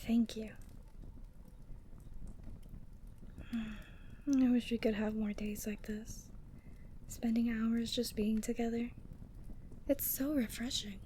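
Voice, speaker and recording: A woman acts out a doting yeti girl for an ASMR roleplay. The voice sits fairly high for a woman. She is tender and teasing, coaxing softly with breathy sighs and a lonely fondness. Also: a little hiss or hum. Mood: sleepy, tender. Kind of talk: ASMR roleplay audio drama.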